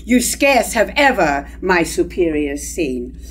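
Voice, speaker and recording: A woman in her sixties playing a haughty, indignant high-born queen, declaiming stately verse in a staged reading of a tragedy. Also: very animated, slow pace, a little hiss or hum. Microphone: stand microphone at lectern.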